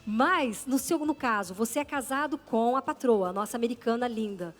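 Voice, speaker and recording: Uma apresentadora brasileira conduzindo o programa, entusiasmada e falante. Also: female voice, on the high side, normal speed, faint music behind. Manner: enthusiastic, chatty interjections. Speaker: host. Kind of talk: talk show hosting.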